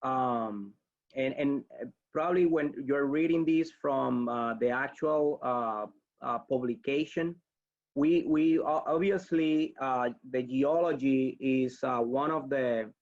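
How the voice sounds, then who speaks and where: A man, a webinar instructor answering audience questions in a halting way, with many restarts. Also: medium pitch, dull audio. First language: Spanish.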